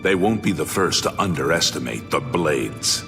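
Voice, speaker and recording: A man playing a gruff, suspicious imperial bodyguard in a fantasy video game, giving clipped commands. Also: a bass voice, expressive, normal speed, exaggerated voice. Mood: determined. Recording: loud game music behind voice.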